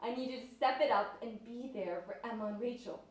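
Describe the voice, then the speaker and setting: A woman's voice: fairly high pitch, fairly quick, natural voice. A woman acting in a stage play as a grieving, angry friend, accusing and impassioned. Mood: firm pleading. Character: grieving, angry woman defending her abused best friend. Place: on stage in a school theatre. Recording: echoey hall, distant mic, noisy.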